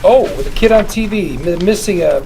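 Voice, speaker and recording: A glib, jittery male lawyer wheedles and hedges in a slightly high, creaky voice. Clear room noise surrounds the reading. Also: expressive, brisk, mellow. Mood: uneasy. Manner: wheedling patter full of hedging stammers. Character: glib, nervous, fast-talking male lawyer. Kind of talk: actor at a script table read. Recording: noisy room ambience.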